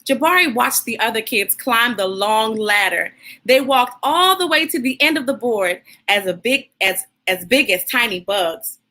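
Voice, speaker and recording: A woman hosting a children's read-aloud, speaking in an animated, encouraging way straight to her young listeners. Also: on the high side, measured pace.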